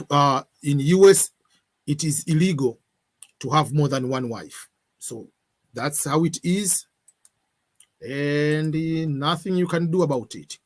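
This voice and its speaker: A middle-aged man answers viewers' questions on a livestream, speaking at a slightly raised pitch. He hesitates often, fills pauses with "uh," and moves between English and Swahili. He sounds like he is at a webcam in a room at home. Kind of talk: casual live Q&A.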